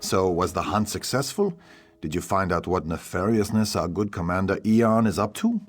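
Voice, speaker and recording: Man acting a low-voiced, shrewd lordly advisor. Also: normal speed, natural voice. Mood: curious, concerned. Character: shrewd, grave lordly male advisor to a jarl. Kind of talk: video game dialogue acting. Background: music.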